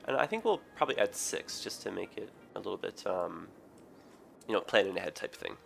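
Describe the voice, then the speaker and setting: A man with a raspy, hoarse, tired voice, rambling through casual game commentary with many ums and self-corrections. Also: low voice, sing-song, brisk, fairly bright tone, soft background music. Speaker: gaming video host. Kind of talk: casual let's play commentary.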